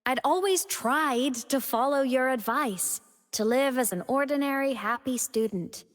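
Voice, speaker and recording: A woman voicing a young student turned digital ghost in a video game, cheerful yet wistful and devoted, with a soft, earnest delivery. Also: high voice, a little slow. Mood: intense, resolute. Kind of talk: scripted video game character monologue. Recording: long reverb on the voice.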